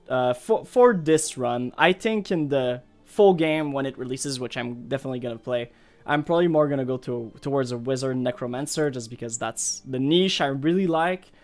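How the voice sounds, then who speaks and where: A native French-speaking man from Montreal talks with a Quebec French accent over background music. He is a game streamer chatting casually to viewers. His delivery rambles and hesitates, as he thinks out loud. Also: mid-range voice. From Montreal, Canada. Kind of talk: casual live gameplay chat.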